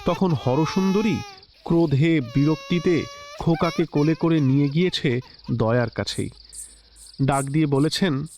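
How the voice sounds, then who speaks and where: A man narrating a classic story in a measured, expressive literary style. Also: on the high side. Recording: slightly dull lo-fi recording with soft music.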